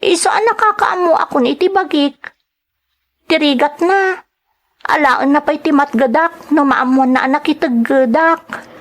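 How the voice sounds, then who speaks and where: A woman plays a troubled high school daughter in a radio-style drama. Her voice is very high, and she pleads in an earnest, plaintive way, as a dutiful girl would. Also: measured pace. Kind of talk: dramatic Ilocano radio-style serial acting.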